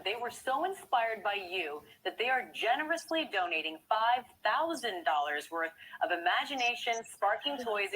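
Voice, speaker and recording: A woman's voice: fairly high pitch, fairly bright tone, low-quality recording. A woman presenter speaking and interviewing in an upbeat style. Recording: faint background noise.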